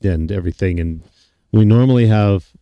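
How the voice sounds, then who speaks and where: A man hosting a casual podcast chat, his voice deep and raspy from a cold, rambling and self-mocking. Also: moderate pace, no echo, dull audio. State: hoarse from a cold. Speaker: podcast host.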